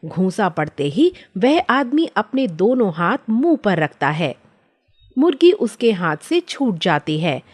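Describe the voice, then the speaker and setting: A woman's voice: mid-range voice, very animated, unhurried, a little mellow. A woman reading scripted children's story narration.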